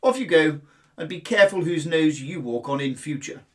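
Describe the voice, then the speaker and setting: A man's voice: on the high side, lively intonation, moderate pace, fairly bright tone. A man voicing a huge, haughty male lion, lazy king of beasts, with a pompous, scornful manner in a children's fable. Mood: sleepy, condescending.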